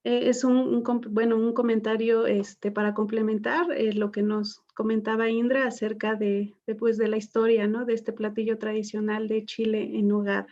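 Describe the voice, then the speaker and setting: Mellow woman moderating, lo-fi call. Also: fairly high pitch, flat delivery, normal speed, hardly any pauses. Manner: hesitant, many fillers. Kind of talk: moderating a panel, reading comments.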